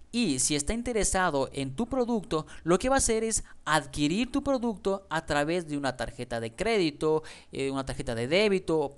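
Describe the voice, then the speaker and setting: A man's voice: fairly high pitch, clean tone. A man narrating a slideshow tutorial on starting an online business, speaking straight to the viewer as a friend, with a voice-over recorded on a close mic.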